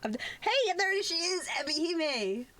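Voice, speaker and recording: A young adult woman chatting casually on a livestream, rambling in an animated way and swearing freely. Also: extremely high pitch, a little slow, slight background noise. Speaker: VTuber live streamer. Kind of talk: casual chat on a game livestream.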